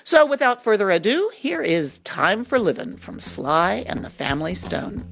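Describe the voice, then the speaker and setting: A woman's voice: medium pitch, very animated, normal speed, vocal fry, fairly bright tone. A woman hosting a radio show, introducing music from a prepared script.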